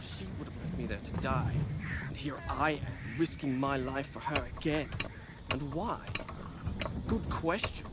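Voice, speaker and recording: An actor playing a brave, proud young prince in a video game, with a dry, sarcastic, impatient delivery. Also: male voice, mid-range voice, measured pace, heavy background noise, natural voice. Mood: annoyed musing. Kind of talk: dramatic video game voice acting.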